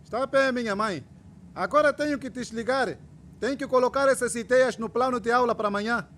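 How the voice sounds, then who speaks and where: A man's voice: very high-pitched, a little fast, fairly bright tone, a little hiss or hum. A man playing an eager, idealistic trainee teacher in his twenties in a radio drama, with a Mozambican accent and an affectionate, playful manner. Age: 20s.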